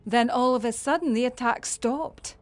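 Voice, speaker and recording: A woman's voice: a little high, moderate pace, soft background music, lightly acted. A woman playing an earnest, dutiful warrior in a fantasy video game. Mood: grave briefing.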